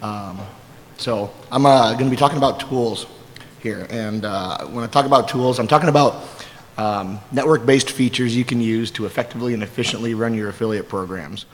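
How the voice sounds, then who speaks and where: A hoarse man speaking on a conference panel as an affiliate coordinator, in an informal manner and often clearing his throat. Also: medium pitch, a little fast, slight background noise. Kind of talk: conference presentation. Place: large conference hall. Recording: reverberant conference hall.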